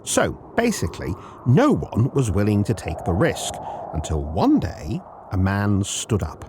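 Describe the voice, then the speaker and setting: A man's voice: lowish voice, wildly swooping pitch, measured pace, background noise. A man narrating a scripted folk tale as a podcast storyteller, theatrical and playful, speaking directly to the listener.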